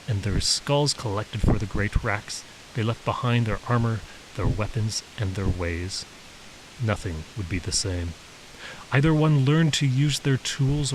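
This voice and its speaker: Low-voiced man narrating fiction. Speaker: author reading own story. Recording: faint steady background hiss.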